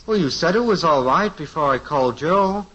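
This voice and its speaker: A man's voice: a little high, animated, normal speed, fairly bright tone. An actor playing an eager, scheming teenage son in a 1950s radio sitcom, earnest and breezy, fumbling his words. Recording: dull lo-fi old radio transcription.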